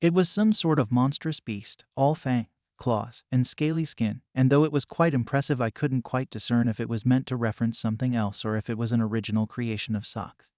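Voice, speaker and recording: A man reads a creepy tale in an even, measured voice at an ordinary middle pitch. The sound is dry and close, with no room around it. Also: no room sound. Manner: calm, measured storytelling. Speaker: story narrator. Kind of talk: scripted narration.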